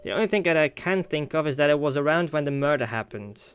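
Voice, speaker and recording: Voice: medium pitch, lively intonation, fast talker, clean tone. A gaming video host doing casual let's play commentary, thinking aloud and swearing now and then.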